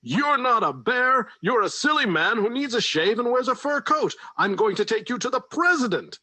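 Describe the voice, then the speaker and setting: Man putting on a yelling high-pitched storybook boss, bossy and mad, breathy and dry. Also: sing-song, moderate pace, dry recording, full character voice. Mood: angry. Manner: loud, high, shouting. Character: blustering angry male factory boss. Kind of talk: storybook reading.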